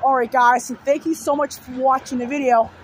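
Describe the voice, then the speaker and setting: A hoarse young man with a sore throat rambling through repeated simple lists in a casual vlog, filmed outdoors beside a road on a phone held at arm's length. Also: extremely high pitch, level tone, fairly quick. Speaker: vlogger. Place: outdoors on a fenced overpass beside a busy road. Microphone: phone held low at arm's length. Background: faint passing traffic.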